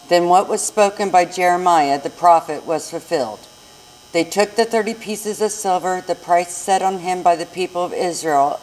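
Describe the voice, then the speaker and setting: A woman's voice: on the low side, darkish tone, low-quality recording. An American woman reading a scripture narrative aloud as narrator in a live church recording. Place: church service.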